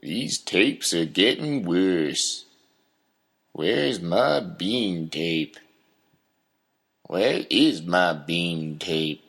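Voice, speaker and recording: A man plays a bitter older father in a comic audio drama. He has a low, raspy voice and scolds slowly, with accusing swings in tone. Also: animated, a bit bright, heavily acted. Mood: grumpy. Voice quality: gravelly, husky. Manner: grumbling, accusatory.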